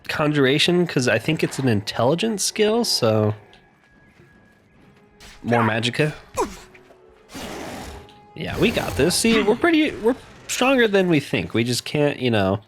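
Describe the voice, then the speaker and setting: Congested guy chatting over gameplay. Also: medium pitch. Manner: easygoing, chatty, quick laughs. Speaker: game commentator. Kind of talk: casual let's-play commentary.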